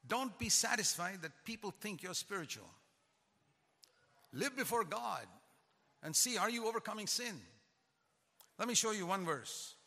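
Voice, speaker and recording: A man's voice: high voice, expressive, measured pace, creaky, pauses often, sharp tone, long reverb tail. A man who is a pastor, preaching a sermon with emphatic, repetitive cadence.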